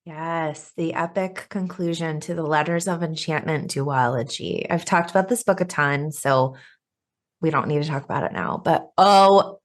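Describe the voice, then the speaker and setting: A woman in her thirties co-hosting a book podcast, gushing and quick to laugh in casual chat. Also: low voice. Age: 30s. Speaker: podcast co-host.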